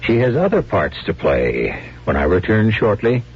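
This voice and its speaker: Low, animated male radio drama host. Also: husky. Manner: deliberate, confiding storyteller. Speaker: host and narrator. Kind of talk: theatrical scripted radio narration. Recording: dull 1970s radio recording. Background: music.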